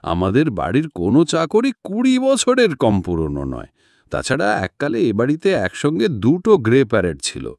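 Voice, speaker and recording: A man voicing a genial, courteous gentleman of an old household in an audiobook, polite and obliging in manner. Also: mid-range voice, huge pitch swings, normal speed, gravelly, no echo, clean studio sound, pitched above the performer's own voice, exaggerated voice. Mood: genial, explanatory.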